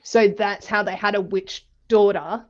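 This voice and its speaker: A woman co-hosting a book club livestream, chatting casually with animated reactions and frank slang. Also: mid-range voice, unhurried, highs cut off. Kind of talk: casual chat.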